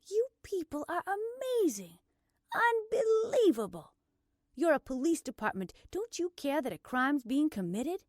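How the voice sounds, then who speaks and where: A woman narrator voicing a stubborn, combative younger woman under arrest, arguing forcefully in an audiobook. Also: mid-range voice, animated, measured pace, no echo, clean studio sound. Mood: exasperated, defiant. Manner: forceful, argumentative, emphatic delivery.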